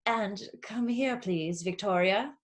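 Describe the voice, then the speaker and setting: A woman's voice: mid-range voice, takes it slowly, slight background noise, natural voice. A woman voicing a snobbish, disapproving well-to-do mother in a storybook read-aloud, haughty and commanding. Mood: affronted, worried. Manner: haughty, commanding, easily affronted.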